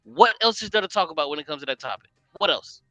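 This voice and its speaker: A man's voice: on the high side, sing-song, fast, bright, forceful tone, no room sound, muffled recording, faint music behind. A man co-hosting a podcast who keeps pressing the same point over and over in a heated casual debate. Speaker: podcast co-host.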